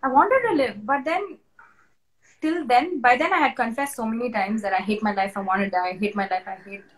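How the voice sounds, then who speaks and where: A woman's voice: fairly high pitch, fairly quick, rough recording. A young woman with an Indian English accent, an interview guest telling her personal testimony in an earnest, emotional and candid way. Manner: earnest, emotional, candid, with restarts and soft laughs.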